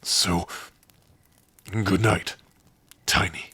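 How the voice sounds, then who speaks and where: A man voicing a big, gruff but tender orc warrior in an ASMR roleplay, shy and devoted, speaking haltingly and intimately close to the mic. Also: steady tone, no echo, full character voice. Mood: fond, gentle. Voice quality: husky, breathy low voice. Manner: slow, halting, softly intimate. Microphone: close dry microphone.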